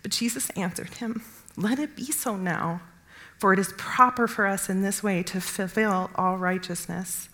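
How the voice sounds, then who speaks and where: A woman reading scripture aloud in a measured way. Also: medium pitch, fairly even intonation, a bit bright. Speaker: scripture reader.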